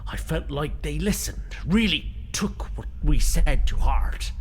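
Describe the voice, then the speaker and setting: A man's voice: fairly high pitch, slow, a little hiss or hum. A man voicing a polite, anxious river-folk being. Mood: deferential. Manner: polite, flattering, cautious. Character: deferential male river-folk being, reanimated and thousands of years old. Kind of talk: fiction podcast acting.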